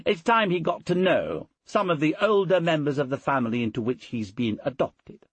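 A man voicing a proud, sharp-tongued Roman noblewoman in an audiobook, brisk and self-assured. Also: fairly high pitch, sing-song, breathy edge, dry recording, voice pushed up. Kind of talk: audiobook character dialogue.

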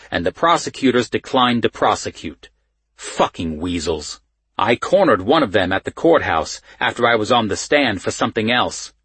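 Guy doing a gruff baltimore cop for an audiobook, sweary, blunt, short fuse, bit husky. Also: medium pitch, a bit bright, no echo, pitched above the performer's own voice. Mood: outraged. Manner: profane, blunt, impatient. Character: gruff, short-tempered Baltimore police sergeant. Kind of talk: audiobook character dialogue.

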